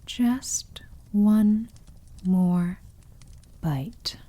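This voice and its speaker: A seductive vampire mistress, acted by a woman in an ASMR roleplay, with a very dark, velvety tone. She speaks extremely slowly and possessively, purring condescending endearments. Her commands often melt into breathy whispers. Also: medium pitch. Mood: hungry, sensual.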